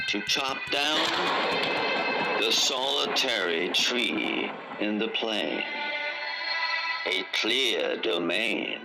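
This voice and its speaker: A man acting as a grave, conspiratorial storyteller in a dark fantasy radio drama, speaking dramatically with deliberate pauses. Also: lowish voice, vocal fry, heavily acted, rough recording. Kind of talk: theatrical radio drama narration. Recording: music under a remastered radio production. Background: music.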